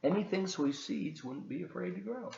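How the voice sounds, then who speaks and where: A man reading aloud to children as a volunteer storyteller, expressive and encouraging, with chatty asides. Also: sits high, fairly quick, gravelly, echoey room, lo-fi sound, slight background noise.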